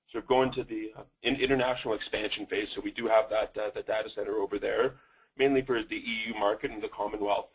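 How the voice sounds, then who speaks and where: A man's voice: on the low side, husky, low-quality recording. A man with a Canadian accent presenting a company in a webinar, talking with many fillers and restarts.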